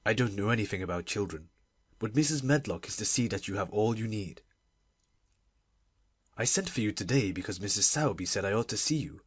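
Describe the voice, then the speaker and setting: A weary, middle-aged gentleman guardian for a storybook. The voice is dropped low and husky, with a fretful, absent-minded mutter. It sits in a dry, close sound. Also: lively intonation, fairly quick, no echo, heavily acted. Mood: weary, worried.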